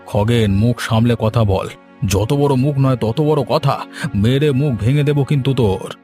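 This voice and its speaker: A man's voice: mid-range voice, measured pace, warm tone, faint music behind, lightly acted. A man playing a short-tempered, threatening village master and father in a cartoon, with a scolding, imperious way of talking. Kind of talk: cartoon voice acting.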